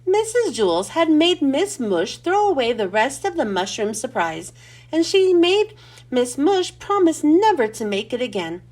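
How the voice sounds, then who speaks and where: A woman reading a children's storybook aloud as host, with expressive, clearly enunciated delivery. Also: high voice, measured pace.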